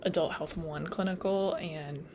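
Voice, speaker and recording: A woman's voice: fairly low pitch, steady tone, measured pace, noisy background. A young woman explaining nursing material in a casual, chatty way, full of "like" and "um", and she is losing her voice. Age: young adult. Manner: chatty, lots of "like" and "um", laughs at own slips. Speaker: nursing student tutor. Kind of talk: casual study lecture.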